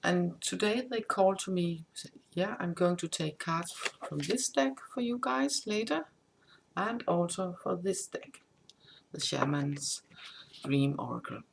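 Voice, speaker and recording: Gravelly-voiced Danish-native woman tarot reader. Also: lowish voice, expressive, noisy background. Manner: halting, many restarts, improvising. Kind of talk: casual spoken update.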